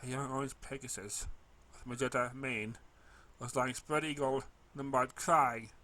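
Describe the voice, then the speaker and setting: Bright-voiced man narrating a story. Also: medium pitch, fairly even intonation, measured pace. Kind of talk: expressive first-person fan fiction narration.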